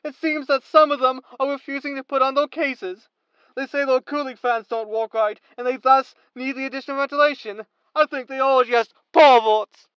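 A woman's voice: sits high, fairly even intonation, normal speed, smooth, no room sound, lo-fi sound, lightly acted. A woman playing a slick, cynical tabloid media boss in a sci-fi comedy audio drama, with a sardonic, theatrical manner. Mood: dry, sardonic. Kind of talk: sitcom audio drama acting.

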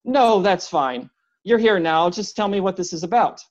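Storybook figure: narrator playing an irritable historian, short and sharp, lowish voice, dull recording. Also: female voice, animated, moderate pace. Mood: curt suspicious. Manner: curt, snapping, suspicious. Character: irritable wary male historian.

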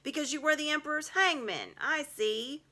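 A woman acts out a blunt storybook beaver. He is indignant and scornful, speaking loudly in a high, sharp, plain-spoken voice. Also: expressive, moderate pace.

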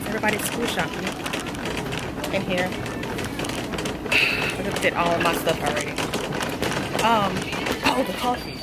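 A woman's voice: lowish voice, lively intonation, a little slow, pauses often, heavy background noise. An American woman in her thirties chatting casually and familiarly to her viewers as a lifestyle vlogger. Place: car, then a large store.

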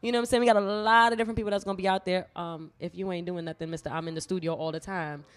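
A young woman with a Trinidadian accent, co-hosting a casual podcast chat on a studio couch, talking loud, slangy and playful into a handheld mic. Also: lowish voice, steady tone, rapid-fire. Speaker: podcast co-host. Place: small indoor studio set on a couch. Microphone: handheld microphone.

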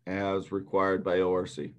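A man's voice: low voice, monotone, moderate pace, muffled recording. A man chairing a board meeting over a video call, walking through the agenda item by item in a businesslike way.

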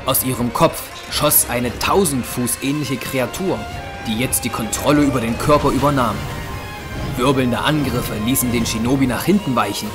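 A man narrating a scripted dramatic story for an audio drama in short, deliberate, measured phrases. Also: medium pitch. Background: music.

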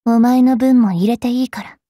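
A woman voicing a bratty, cruel young fairy witch in an anime game, haughty and taunting with a rough tongue. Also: on the high side, steady tone, normal speed, mellow, a little hiss or hum, natural voice. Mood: aloof, prickly. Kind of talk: Japanese anime game character voice lines.

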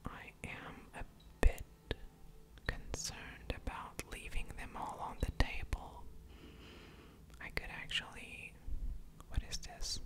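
Slow whispering ASMR woman. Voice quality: soft whisper with mouth sounds. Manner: slow, musing aloud over each move. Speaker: ASMR gamer, host. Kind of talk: whispered ASMR card game commentary.

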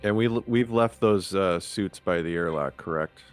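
A man playing a tabletop game, chatting casually in a halting, tentative way. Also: sits low, faint music behind.

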